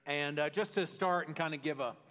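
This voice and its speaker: A man who is lead pastor, welcoming the congregation and interviewing a guest in a casual, halting way. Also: on the high side, a bit bright. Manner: casual, many fillers and restarts. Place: church sanctuary. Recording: hall reverb.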